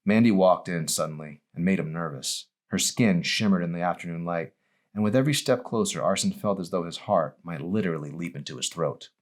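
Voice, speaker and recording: A man reading his own novel aloud as expressive audiobook narration. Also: low voice, brisk.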